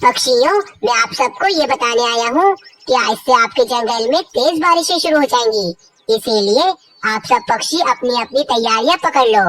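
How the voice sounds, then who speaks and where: A woman voicing a matter-of-fact talking rain cloud that gives warnings in a children's cartoon, measured and announcing. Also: on the high side, expressive, fairly bright tone, distant mic, a little hiss or hum.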